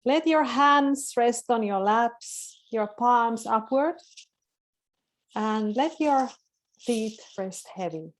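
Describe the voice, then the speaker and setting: A woman speaking as a webinar guest, softly and slowly leading a guided relaxation over a video call. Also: on the high side. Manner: calm, soft-spoken, slow guiding. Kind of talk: slow guided relaxation instructions.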